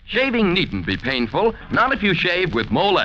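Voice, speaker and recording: A man delivering a peppy, emphatic, folksy sales pitch as a 1940s radio announcer. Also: wildly swooping pitch, normal speed, muffled recording, a little hiss or hum. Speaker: commercial announcer. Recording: old 1940s radio broadcast, music behind.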